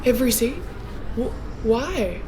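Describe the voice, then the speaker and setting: A woman's voice: a little high, expressive, measured pace, noisy background. A woman acting a nervous airline check-in clerk in a script reading, hesitant and easily flustered. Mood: flustered, doubtful.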